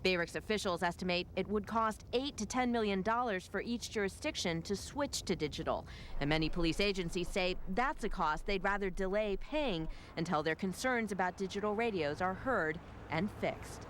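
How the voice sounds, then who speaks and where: Female TV reporter, measured scripted news read, light background hiss. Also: medium pitch, animated. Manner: measured, formal delivery.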